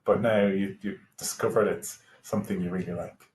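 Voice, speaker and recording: A man with an Irish accent hosting a casual interview, asking questions in a hesitant, halting way. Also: deep voice, steady tone, a little mellow. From Ireland. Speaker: interviewer, host. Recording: echoey room sound.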